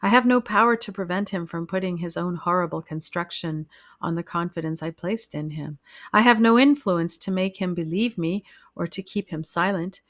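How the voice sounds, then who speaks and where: A woman voicing a frightened young wife who speaks wearily and calmly of her troubles. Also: fairly low pitch, moderate pace, dry recording, clean studio sound, lightly acted. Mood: bitter. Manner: wearily calm, pleading.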